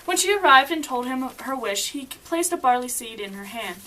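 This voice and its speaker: High-pitched young woman narrating a fairy tale. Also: animated, moderate pace, pushed and bright, a little hiss or hum, low-quality recording. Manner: stumbles over words and giggles. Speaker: puppet show narrator. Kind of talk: scripted storybook narration.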